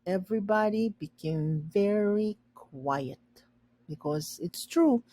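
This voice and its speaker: A woman reading a children's story aloud and pausing to explain words simply for learners. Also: medium pitch, expressive, mellow, dry recording.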